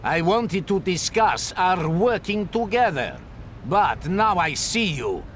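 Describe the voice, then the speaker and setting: Rival boss, seething, slow delivery with big pitch swings, noisy backdrop. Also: male voice, sing-song, full character voice. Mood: seething hatred. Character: hateful rival male gang boss Zakharov.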